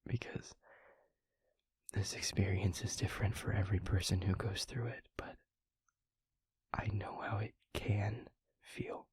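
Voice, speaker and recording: Man acting as haunted vampire boyfriend, low husky whisper, slow halting pauses, earnest, intimate audio roleplay. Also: fairly even intonation, no room sound. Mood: tender, reassuring. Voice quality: breathy, husky near-whisper. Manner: halting, hushed, earnest delivery with heavy pauses. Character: tender, haunted male vampire boyfriend confessing past despair.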